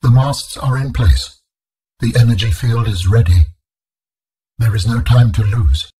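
An actor voicing an ancient, solemn alien in a video game, speaking in formal, measured, grave phrases with a husky tone. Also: male voice, medium pitch, warm tone. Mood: calm, grave. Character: ancient alien architect being, male, solemn and calm.

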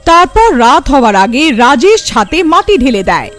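A woman's voice: sits high, sing-song, moderate pace, a bit bright, soft background music. A woman giving scripted narration for a cartoon horror story.

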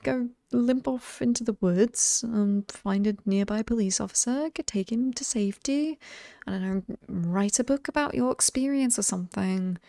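A woman's voice: mid-range voice, normal speed, vocal fry, warm tone, no echo, studio quality, lightly acted. A British woman playing a cheerful, chatty slasher killer in an ASMR roleplay, teasing and casual with sweet menace. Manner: playful, casual, teasing, amused.

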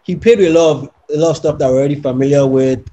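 A man's voice: a little high, rapid-fire, slight background noise. A young man co-hosting a casual podcast over a video call from home, arguing his point emphatically and often checking if he is understood. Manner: animated, emphatic, persuasive, often asks "you know what I'm saying". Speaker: podcast co-host. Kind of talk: casual debate among friends.

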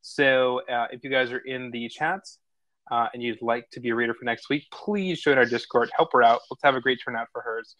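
A man's voice: mid-range voice, fairly quick, fairly bright tone, dry recording, low-quality recording. A man chatting casually and enthusiastically in a rambling, informal way as host of an online stream. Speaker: host and narrator of online script table reads. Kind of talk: casual feedback chat.

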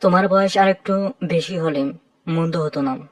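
A woman voicing a calm, gently teasing young male airline pilot in a romantic audio story. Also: on the low side, normal speed, no echo, far from the mic, voice pushed down, natural voice, poor audio.

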